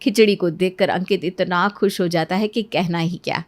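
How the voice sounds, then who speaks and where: A woman's voice: on the low side, fairly even intonation, moderate pace. A woman reading scripted narration for a moral family story.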